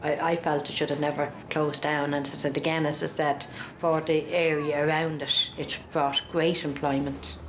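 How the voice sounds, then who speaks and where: A woman's voice: sits low, flat delivery, normal speed, few pauses. A woman with a Dublin Irish accent recalling the past conversationally in a documentary interview. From Dublin, Ireland. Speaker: documentary interviewee, local resident. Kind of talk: conversational recollection. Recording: echoey room with clear background noise.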